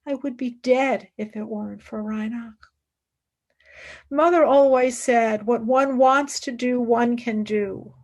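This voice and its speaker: Actress as young woman scholar-nurse, high voice, very slow halting delivery, grave and principled, radio drama. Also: fairly even intonation, darkish tone. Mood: anguished, reflective. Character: grieving, earnest, principled young woman philosopher serving as wartime nurse. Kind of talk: dramatic radio play acting.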